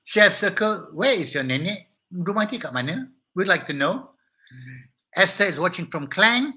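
Hyper malaysian guy hosting a livestream, high voice, super bright and sharp, chatting to the chat. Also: moderate pace. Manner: energetic and hyper, greeting viewers by name. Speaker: host of a live online talk show. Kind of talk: casual live-stream chat with viewers. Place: small indoor studio set with brick wall.

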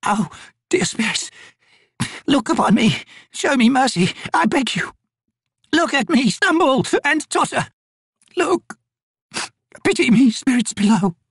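Brief: ancient wisewoman, grieving and bitter, ragged high voice, breathy, dry booth. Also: sing-song, a little slow, long pauses, no echo, exaggerated voice. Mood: pleading. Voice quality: cracked, ragged.

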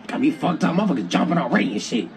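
A man playing an online roleplay game, chattering excitedly in profane street slang. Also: a little high, very fast. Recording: distant game clip, loud crowd behind.

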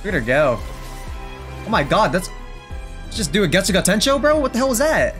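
A man's voice: high-pitched, sing-song, brisk. Slangy man reacting, music behind. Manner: exclamatory, slangy, repeats himself. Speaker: reaction streamer. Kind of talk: casual reaction commentary, slangy. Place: small bedroom streaming setup, indoors. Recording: loud music from played videos. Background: game trailer music.

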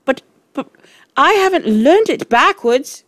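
A woman's voice: high voice, big pitch swings, measured pace, fairly bright tone, dry recording, studio quality, voice pushed up, exaggerated voice. A woman voicing a frightened, flustered schoolboy in a storybook, stammering and crying out in protest. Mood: bewildered.

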